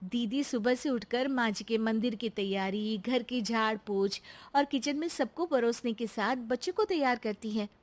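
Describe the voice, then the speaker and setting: Casting: a woman playing cheerful loyal younger daughter-in-law, polite and earnest, lightly high voice, moral-story drama. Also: moderate pace, hardly any pauses, close to an everyday voice. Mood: earnest defending. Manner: polite, earnest, gently protesting.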